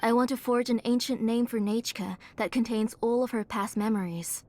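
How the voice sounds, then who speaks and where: A woman's voice: on the high side, steady tone, close to an everyday voice. A woman playing a weary elderly aunt. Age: older. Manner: soft, tired, measured. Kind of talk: video game dub acting. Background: game music.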